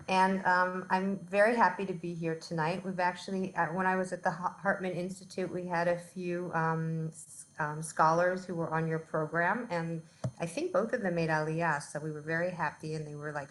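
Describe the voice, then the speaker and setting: A woman's voice: on the low side, rough recording. A woman giving a talk as a guest on a video call, chatty and filled with ums and likes. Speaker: guest speaker.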